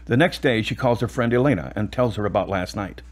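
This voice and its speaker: Husky male audio drama narrator. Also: on the low side, a little fast.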